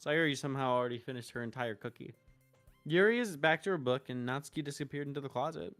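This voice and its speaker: A woman streaming a visual novel, reading narration and dialogue aloud expressively, halting in places. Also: a bass voice. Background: game music.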